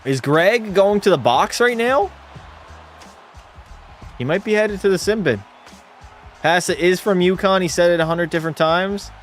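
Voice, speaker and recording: A man's voice: high-pitched, unhurried, pauses often, faint music behind. Casual man calling a video hockey game. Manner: relaxed, drops into terse hockey call mode. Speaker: streamer. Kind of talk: casual play-by-play commentary and chat reading.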